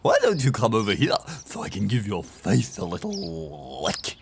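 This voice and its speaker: A man's voice: on the low side, huge pitch swings, normal speed, vocal fry, a little hiss or hum, heavily acted. A man voicing a greedy horse in a children's audio story, coaxing in a luring drawl.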